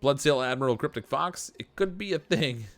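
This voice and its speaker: A man's voice: medium pitch, lively intonation, normal speed, faint music behind. A man streaming a game live, chatting casually, laughing often and answering his viewers as he plays. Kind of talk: casual live gameplay commentary.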